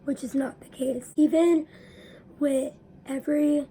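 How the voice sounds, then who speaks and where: Young woman, raspy voice, high but flat pitch, earnest explaining vlog. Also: a little slow, a little hiss or hum, rough recording. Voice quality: raspy from allergies. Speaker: vlogger. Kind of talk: personal talk to camera.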